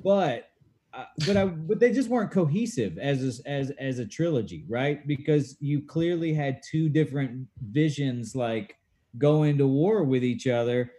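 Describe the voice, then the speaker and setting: A man's voice: medium pitch, animated, unhurried, mellow. A man in his thirties, a guest in a casual chat, telling stories in a laid-back, self-mocking way. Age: 30s.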